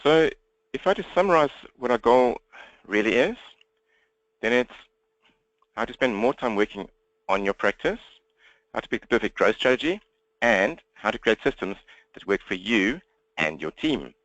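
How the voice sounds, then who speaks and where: A man with a South African accent presenting an interactive webinar, talking fast in a direct way and engaging listeners with questions. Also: medium pitch, sing-song, fairly bright tone, no room sound, dull audio. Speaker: webinar presenter and coach for accountants. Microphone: computer webinar microphone, presenter standing.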